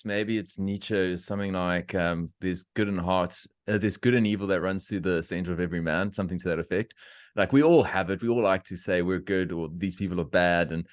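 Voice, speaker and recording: A middle-aged man from South Africa hosting a podcast, talking in opinionated, animated rants with laughter. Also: sits low, dry recording, studio quality. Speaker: podcast host. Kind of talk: casual interview chat.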